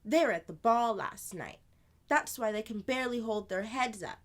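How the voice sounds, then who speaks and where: A woman plays a storybook big sister spinning fanciful tales. The voice is high and very lively in its swoops, emphatic, playful and confiding, as if fully in the know. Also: normal speed, natural voice. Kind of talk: children's storybook read-aloud.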